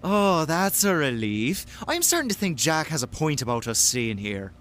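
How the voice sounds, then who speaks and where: Casting: actor as worried, wry male best friend, highish voice with huge melodic swings, radio drama. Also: sing-song, normal speed, fairly bright tone, a little hiss or hum. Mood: earnest, reproachful. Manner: earnest, wry, scolding. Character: fretful, caring male friend, exasperated yet gentle. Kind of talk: audio drama acting.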